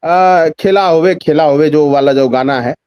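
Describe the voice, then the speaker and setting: A man's voice: fairly high pitch, normal speed, clean tone, no room sound, lo-fi sound. A man giving extended political commentary as a guest in a news discussion, emphatic, repeating phrases and posing rhetorical questions.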